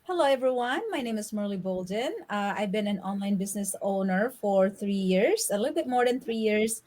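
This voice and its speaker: A woman's voice: mid-range voice, expressive, fairly quick, a little mellow. Woman, from the philippines, brief, matter-of-fact, live chat. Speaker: guest business owner. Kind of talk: casual chat.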